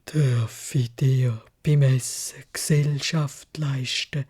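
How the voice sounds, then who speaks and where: A gentle storybook beast played by a woman speaks Swiss German in a low, very slow voice. He is soft, courteous and heavy with sorrow, a lonely ugly lord of a castle. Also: fairly even intonation, slightly rough, exaggerated voice. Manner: slow, halting, melancholy. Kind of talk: storybook fairy-tale character voice.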